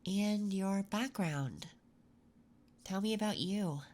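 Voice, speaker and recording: A woman playing a curious, attentive interviewer in an unhurried, intimate, soft-spoken ASMR roleplay. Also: mid-range voice, a little hiss or hum, lightly acted. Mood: softly intrigued. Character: curious, attentive female interviewer in a late-night office. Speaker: ASMR performer.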